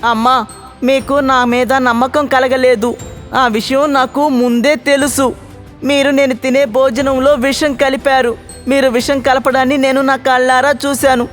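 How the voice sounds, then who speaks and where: Woman doing a devoted male cartoon monkey, high voice, soft music under it. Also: flat delivery, measured pace. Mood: earnest. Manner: earnest, soft-spoken pleading. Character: loyal male pet monkey, gentle and devoted. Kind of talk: cartoon voice acting. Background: faint background music.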